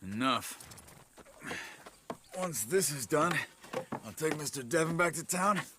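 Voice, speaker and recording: An actor playing a calm, serious frontier man in a Western video game, low-key and terse. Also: measured pace. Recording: noisy background with clicks.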